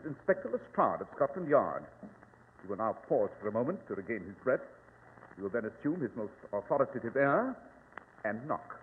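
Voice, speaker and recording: An actor playing a brilliant, haughty English gentleman detective in old-time radio drama, precise, pompous and commanding. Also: mid-range voice, natural voice. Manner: precise, pompous, theatrical delivery. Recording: tinny, noisy, reverberant old radio recording.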